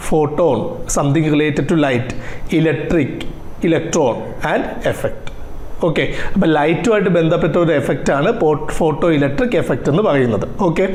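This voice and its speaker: Higher-voiced Malayali man teaching, roomy echo. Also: lively intonation, takes it slowly, darkish tone, slight background noise. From Kerala, India. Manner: instructive, constantly posing questions to students and answering them himself. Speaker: physics teacher at an online coaching class. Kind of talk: classroom lecture with English technical terms.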